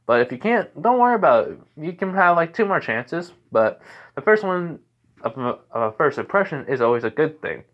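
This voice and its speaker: A man's voice: fairly high pitch, big pitch swings, moderate pace, muffled recording, pitched above the performer's own voice. A man playing a cheerful, cocky young superhero student giving a breezy, hesitant speech in a fan story. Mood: cheerful, encouraging. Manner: breezy, friendly boasting with hesitations.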